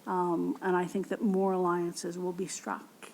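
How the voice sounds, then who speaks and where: A woman's voice: fairly low pitch, completely flat delivery, a little slow, a little mellow, slight background noise, poor audio. A woman giving an academic keynote lecture and answering audience questions in a plainspoken, informal way with frequent fillers.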